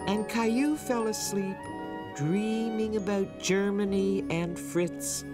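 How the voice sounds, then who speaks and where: A woman playing the gentle storybook narrator of a preschool cartoon, reading scripted narration for young children. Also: mid-range voice, takes it slowly, close to an everyday voice. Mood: gentle storytelling. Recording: music under the voice.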